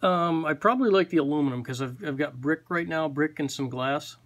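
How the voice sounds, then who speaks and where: A man's voice: fairly high pitch, brisk, a bit bright. A man having a casual phone conversation, giving brief, matter-of-fact replies to a caller, recorded on a handheld phone. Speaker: call recipient playing along with a scam caller. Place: office, beside a desk phone. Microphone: handheld phone camera.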